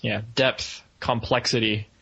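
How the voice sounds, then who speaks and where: A man with a bright, forward voice. His pitch sits in the middle of the male range. He talks as a podcast co-host, casually laying out his opinions in a film review. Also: level tone, measured pace, vocal fry, breathy edge, muffled recording.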